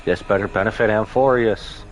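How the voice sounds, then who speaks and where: A man's voice: fairly low pitch, moderate pace, faint music behind. A man streaming a game, reacting casually with dry, grumbling asides. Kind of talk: live reaction commentary, casual.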